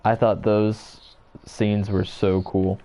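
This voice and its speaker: A young man in casual chat as a podcast co-host, hesitant and halting, with many fillers. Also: lowish voice, little pitch movement, dark tone. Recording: dull lo-fi sound.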